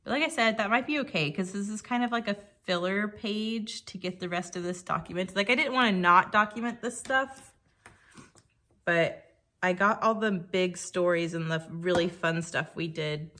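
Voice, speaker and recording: A woman with a slightly croaky voice, chatting casually and thinking aloud while she works on a scrapbook page. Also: medium pitch, moderate pace, bright, forceful tone. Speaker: scrapbooking creator. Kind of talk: casual crafting commentary.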